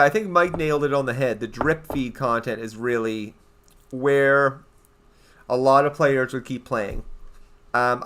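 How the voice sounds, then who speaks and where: A man's voice: mid-range voice, a bit bright. A young man from eastern Canada with a Canadian accent, chatting casually and rambling on his game livestream as he answers his viewers. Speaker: game streamer.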